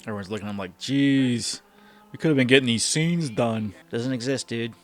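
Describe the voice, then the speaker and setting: A man's voice: medium pitch, moderate pace, over music. A man in his thirties hosting a reaction video, commenting casually as he watches a show. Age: 30s.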